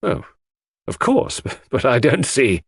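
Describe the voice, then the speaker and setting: A man voicing an anxious, bewildered gentleman of the house, polite and excitable, in an audiobook mystery. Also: medium pitch, slow, gravelly, husky, dry recording, clean studio sound, voice pushed up, heavily acted. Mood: bewildered, shaken.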